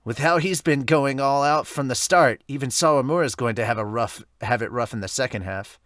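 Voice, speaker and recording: A man voicing earnest high school baseball players, reading manga dub dialogue in a plain manner. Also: a little fast, a bit bright, dry recording, studio quality, natural voice. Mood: matter-of-fact.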